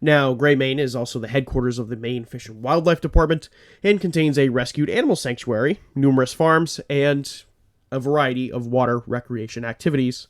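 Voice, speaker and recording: A man's voice: mid-range voice, fairly quick. Male podcast host, congested and slightly phlegmy, earnest true crime narration with rambling asides.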